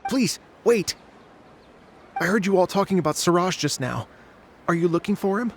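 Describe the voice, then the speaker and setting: An actor playing a modest, chatty male student in a video game, polite and helpful, with a creaky voice. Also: high voice, expressive, normal speed, slight background noise. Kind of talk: English video game voice acting.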